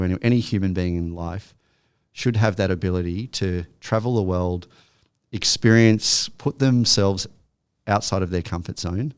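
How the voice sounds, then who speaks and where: A man in his thirties co-hosting a podcast, agreeing quickly and giving practical advice. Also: sits low, clean tone, no room sound, clean studio sound. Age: 30s. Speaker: podcast co-host. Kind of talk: casual chat.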